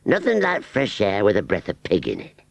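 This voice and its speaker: A man's voice: fairly low pitch, wildly swooping pitch, normal speed, vocal fry, no echo, pitched above the performer's own voice, heavily acted. A man reading a storybook and voicing a simple-minded, humble male scarecrow with a broad rustic drawl and hesitant ums. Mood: contented.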